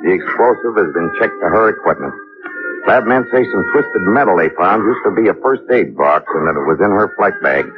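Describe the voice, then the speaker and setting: An actor playing a gruff but decent police captain in a vintage radio drama, plain and subdued. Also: male voice, deep voice, expressive, fairly quick, music in the background. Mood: brisk. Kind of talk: 1950s radio drama acting. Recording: tinny, narrow old radio broadcast.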